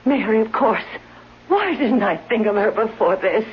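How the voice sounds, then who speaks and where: A woman's voice: a little high, animated, normal speed, over loud music, lightly acted. An actress playing a desperate bedridden wife in a 1970s radio drama, pleading and agitated, convinced she is in danger. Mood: anxious, agitated. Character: desperate bedridden invalid wife fearing she is being poisoned. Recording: muffled old radio recording.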